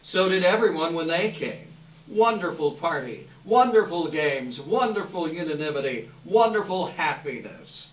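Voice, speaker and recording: A man's voice: a little high, expressive, unhurried. A man narrating a scripted story for a live readers theater. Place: live event hall. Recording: echoey hall, distant microphone.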